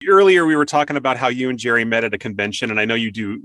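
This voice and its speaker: A man's voice: medium pitch, fairly even intonation, rapid-fire, fairly bright tone, dry recording. A man hosting an interview in a conversational way, enthusiastic and appreciative toward the guest. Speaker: interview host.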